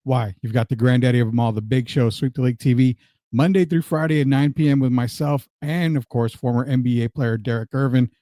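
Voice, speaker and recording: A man's voice: mid-range voice, steady tone, fairly quick, warm tone, no room sound, clean studio sound. An American man hosting a podcast, chatting through promotional patter and introducing his guest in an upbeat interview style. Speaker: podcast host.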